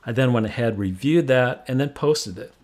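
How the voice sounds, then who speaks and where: An American man presenting a software demo, explaining each step plainly in tutorial narration. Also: mid-range voice, normal speed.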